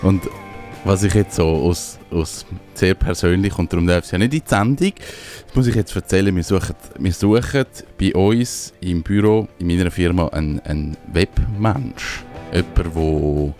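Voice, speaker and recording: A man's voice: low voice, a little mellow. A man with a Swiss German accent chatting casually as a radio co-host, rambling and searching for his words. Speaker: radio show co-host and small business owner.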